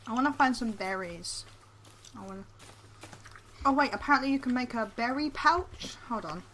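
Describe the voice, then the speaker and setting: A woman with a bright, slightly high voice talks through crafting recipes in a relaxed, methodical way. Her humor is dry, and a faint hiss sits under her voice. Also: moderate pace. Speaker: gaming co-host. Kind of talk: casual let's-play chat.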